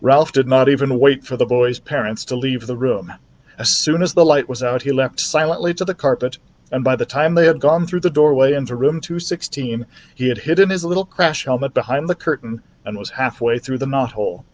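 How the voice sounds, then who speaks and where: A man's voice: medium pitch, a little fast, darkish tone, a little hiss or hum. A man reading a children's novel aloud as a storytime narrator. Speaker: library storytime reader. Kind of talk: scripted children's book narration.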